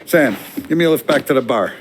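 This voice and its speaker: An actor playing an imperious, condescending boss, curt and commanding, in a crime game. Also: male voice, medium pitch, lively intonation, quick pace, a little hiss or hum, lightly acted. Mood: smug, curt. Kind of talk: cinematic crime game dialogue.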